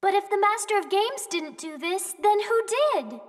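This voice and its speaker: Very high-voiced, innocent alien girl hero. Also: very animated, normal speed, background noise. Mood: earnest. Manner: formal, stilted phrasing. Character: earnest, innocent teenage alien superheroine girl. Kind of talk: cartoon video game acting. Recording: distant, music behind.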